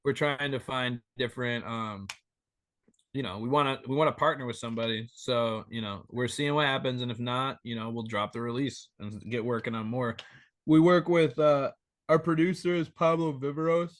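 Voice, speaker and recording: A man in his thirties joining a podcast over a remote call as a guest, talking in an animated, rambling way and swearing freely. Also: mid-range voice, moderate pace, dry recording. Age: 30s. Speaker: band member guest. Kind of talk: casual interview chat.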